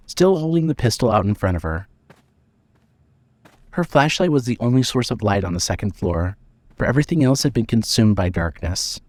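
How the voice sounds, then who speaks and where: A man narrating a scripted dramatic story in a measured reading manner. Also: medium pitch, darkish tone, dry recording.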